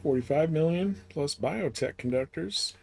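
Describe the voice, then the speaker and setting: Gravelly man casually streaming a game. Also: on the high side, expressive, moderate pace, darkish tone.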